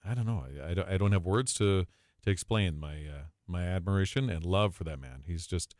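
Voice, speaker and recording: Deep-voiced, effusive announcer man. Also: fairly quick, a little mellow, dry recording, clean studio sound. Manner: effusive, emphatic. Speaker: guest, arena announcer.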